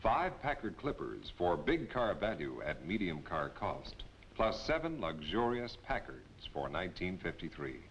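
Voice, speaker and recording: A man's voice: low-pitched, big pitch swings, measured pace, a bit bright. A man announcing a scripted 1950s car commercial. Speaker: advert announcer. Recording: faintly noisy vintage advert.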